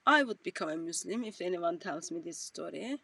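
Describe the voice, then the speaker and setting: A woman speaking slowly with dry irony in an online discussion, mocking a story she finds absurd. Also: on the low side, animated, vocal fry, sharp tone, husky, dry recording, recorded from a distance. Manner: dry, ironic and slow-paced. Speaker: co-host of an online show.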